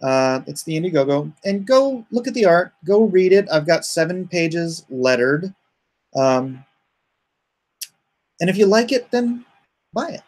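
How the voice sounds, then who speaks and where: A man's voice: sits high, very animated, unhurried, pauses often, a little mellow. A man hosting a livestream, chatting casually and reading viewer comments aloud in a rambling, joking way. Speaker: comic artist hosting a livestream.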